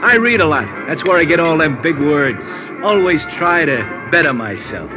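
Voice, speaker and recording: An actor playing a chatty, slangy bar manager who uses pompous big words, in old-time radio drama. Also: male voice, fairly high pitch, animated, moderate pace, lightly acted. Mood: gabby, enthusiastic. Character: gabby, hip, self-taught male bar manager. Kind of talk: 1950s radio acting, hipster slang. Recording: dull old radio recording, music behind. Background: music.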